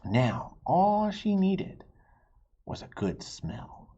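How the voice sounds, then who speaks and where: A husky-voiced man reads a picture book aloud to children, slow and unhurried, like a teacher. His pitch swings widely as he stops to ask the listeners questions. The recording is dull and lo-fi. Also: wildly swooping pitch, dark tone. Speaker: read-aloud storyteller.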